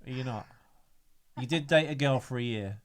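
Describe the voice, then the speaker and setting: A man's voice: medium pitch, normal speed, a crowd in the background. A young man with a Birmingham accent co-hosting a podcast, reading film trivia and teasing his co-host in casual chat. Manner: dry teasing banter, reads trivia aloud.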